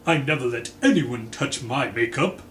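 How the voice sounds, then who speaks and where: A man's voice: medium pitch, expressive, moderate pace, fairly bright tone, natural voice. A man voicing a vain, bitter, glamorous woman with a taunting, haughty manner in a storybook reading. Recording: echoey room, faint background noise.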